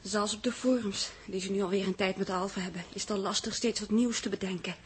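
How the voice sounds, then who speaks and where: A Dutch actress playing a deferential, easily impressed female assistant in a 1960s radio drama, with a husky, breathy voice. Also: medium pitch, fairly even intonation, quick pace, a little hiss or hum, close to an everyday voice. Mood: worried, deferential.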